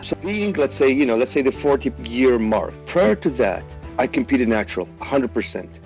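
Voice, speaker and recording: A man's voice: mid-range voice, sing-song, normal speed, darkish tone, highs cut off, soft background music. A man in his forties from Iraq, a pro bodybuilder guest in a podcast interview, talking animatedly with lots of fillers and restarts. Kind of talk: casual interview talk.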